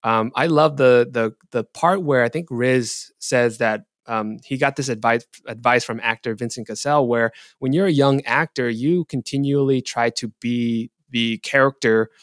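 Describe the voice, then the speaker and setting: A man's voice: medium pitch, moderate pace, few pauses, no room sound, studio quality. A man speaking as a guest on a podcast, talking things through thoughtfully with hedges and restarts. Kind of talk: casual podcast discussion.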